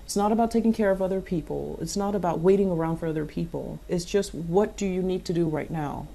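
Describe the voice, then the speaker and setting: A woman's voice: lowish voice, fast talker, creaky, dark tone. A woman giving a tarot reading, advising the listener earnestly and repeating phrases for emphasis. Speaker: tarot reader.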